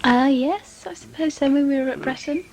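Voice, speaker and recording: High-voiced woman reminiscing casually. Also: moderate pace, darkish tone. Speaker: voice actress being interviewed.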